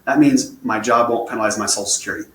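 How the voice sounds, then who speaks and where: Man, advisor type, plain step-by-step explainer, rapid delivery, recorded in a roomy space. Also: mid-range voice, fairly bright tone. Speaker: financial advisor presenting a webinar. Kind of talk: explaining slides, answering viewer questions. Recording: roomy echo, faint room noise.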